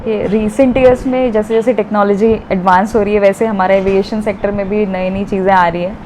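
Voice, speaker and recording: A woman's voice: mid-range voice, steady tone, a little fast, warm tone, poor audio. A woman giving explanatory interview answers as an expert guest, mixing in English technical terms. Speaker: air traffic controller, interview guest. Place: at an airport, near navigation equipment. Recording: faint ambient location noise.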